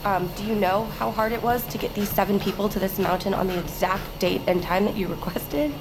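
An actress playing a dutiful, restrained executive assistant with a composed, guarded, polite manner in a sci-fi thriller audio drama. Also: female voice, mid-range voice, fairly quick, background noise, natural voice. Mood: tense resolve.

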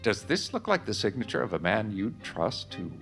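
Scheming statesman, very low voice, expressive pitch, deliberate, insinuating, audio drama. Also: soft background music, full character voice. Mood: sly, insinuating. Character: sly, grave male former government secretary, quietly scheming. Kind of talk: period audio drama acting.

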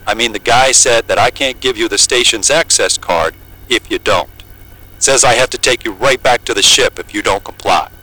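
An uneasy pilot in an audio drama, plain-spoken and a little crude. His mid-pitched voice is bright and forward, with hesitant, everyday phrasing and a well-meaning air. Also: moderate pace, rough recording. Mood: baffled, insistent. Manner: hesitant, colloquial. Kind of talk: naturalistic audio drama acting.

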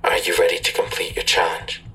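An actor playing a menacing, controlled taskmaster in an audio drama, cold and deliberate. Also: male voice, low voice, monotone, bright, forceful tone, faint music behind. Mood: cold menace. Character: menacing, controlled taskmaster issuing deadly challenges.

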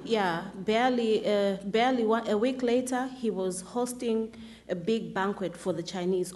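A woman from Zambia stands in the audience and puts a question to a panel. She talks in an earnest, steady way at a middle pitch. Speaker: audience member asking a question. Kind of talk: public Q&A question.

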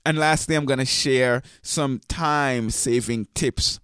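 A hoarse man hosting a podcast, talking in an upbeat, chatty way and joking at himself, with his voice deeper than usual from a sore throat. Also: a little slow, a bit bright, dry recording, studio quality. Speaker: podcast host. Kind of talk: casual podcast talk.

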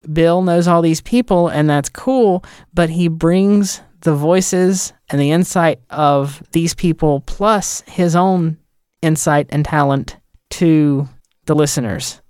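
Podcast producer dude, fairly high for a man, emphatic and opinionated, no room sound. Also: slow, a little mellow, clean tone, no echo, clean studio sound. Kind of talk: casual chat.

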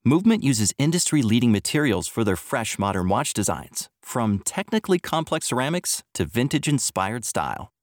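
A man's voice: medium pitch, dry recording, studio quality. A man reading a scripted advertisement as an announcer. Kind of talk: scripted ad read.

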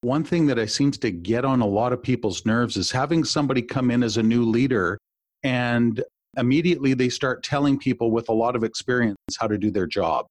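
A man's voice: mid-range voice, brisk, no room sound. An upbeat male host of a business podcast who frames each question with a long, friendly lead-in. His voice sits in a middle register and is recorded close and dry, with no room sound. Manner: enthusiastic, warm-worded, long wind-up questions. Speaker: podcast host and executive coach. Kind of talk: podcast interview.

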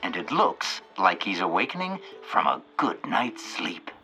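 An actor playing a chipper, folksy radio show host, with polished, wry announcer delivery. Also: male voice, deep voice, big pitch swings, a little slow, sharp tone, soft background music, full character voice. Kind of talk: old-time radio announcing.

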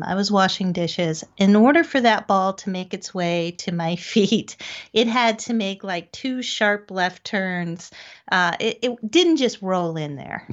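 A middle-aged woman, recounting experiences conversationally as an interview guest. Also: mid-range voice. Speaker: interview guest, paranormal investigator. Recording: dull, band-limited lo-fi audio.

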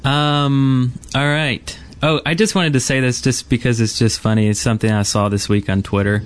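A man's voice: medium pitch, steady tone, normal speed, smooth. A man co-hosting a gaming podcast, talking casually and with enthusiasm about what he describes. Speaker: podcast co-host.

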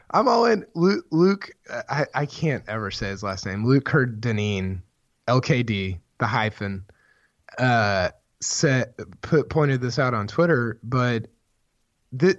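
A hoarse man who is losing his voice, co-hosting a sports podcast in casual, rambling chat full of restarts. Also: medium pitch, unhurried, no room sound. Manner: rambling, many restarts, excited. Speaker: podcast co-host, golf writer. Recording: dull, dry podcast mic sound.

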